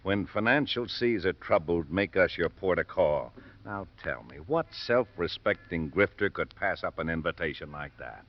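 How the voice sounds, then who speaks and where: A tired grumbling cop, really deep voice, sardonic, old radio drama, dull hissy recording. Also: male voice, animated, moderate pace, hardly any pauses. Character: harried, grumbling male police robbery lieutenant. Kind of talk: 1950s radio drama acting.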